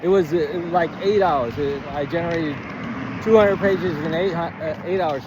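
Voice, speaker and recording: Halting, higher-voiced man over background noise. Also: muffled recording. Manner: halting, stammering, digressive, thinking aloud. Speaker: writing coach, presenter of a video series. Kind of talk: rambling informal lesson to camera.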